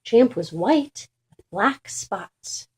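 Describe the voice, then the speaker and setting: Slow, slightly high-voiced woman reading a storybook. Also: lively intonation, a little mellow, husky. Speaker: children's book reader. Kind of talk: expressive storybook narration for young children.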